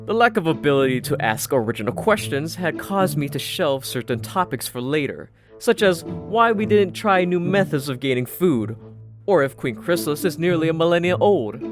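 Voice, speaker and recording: Animated, sardonic male story narrator over music. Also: on the high side. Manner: dry, sardonic. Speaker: audiobook narrator. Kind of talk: first-person scripted story narration.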